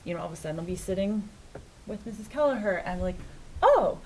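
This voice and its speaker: A woman's voice: mid-range voice, lively intonation, fast, darkish tone, slight background noise, poor audio. A woman on a school committee speaking hesitantly, with many fillers, during a meeting in a meeting room. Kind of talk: meeting discussion.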